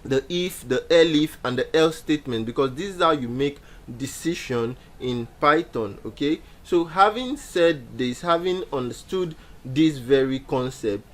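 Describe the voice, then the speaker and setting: A man's voice: on the high side, lively intonation, a little slow, few pauses. A young man teaching a beginner programming lesson over a screen share, explaining step by step with frequent fillers and repeated phrases. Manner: explanatory, repeats key points with many fillers. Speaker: programming instructor. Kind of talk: screen-share coding tutorial.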